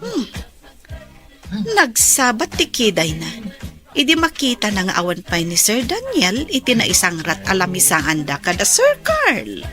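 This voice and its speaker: A woman's voice: medium pitch, huge pitch swings, a little slow, music in the background. A woman playing a nosy, gossiping young woman at an event in a radio drama. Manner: gossipy and curious.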